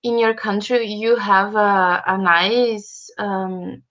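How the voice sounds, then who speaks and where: A woman's voice: medium pitch, steady tone, slow, a bit bright. A woman hosting an online meetup in a casual chat, giving short, friendly reactions. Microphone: video call. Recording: dull, lo-fi video-call audio.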